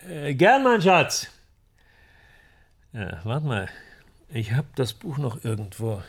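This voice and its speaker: A wry, bookish fellow in a radio drama set in 1930s Germany. His speech is very unhurried, with a creaky, gravelly voice. He muses with dry amusement. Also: mid-range voice, animated, darkish tone, full character voice. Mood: helpful, eager. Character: bookish, wry male friend in Nazi-era Germany.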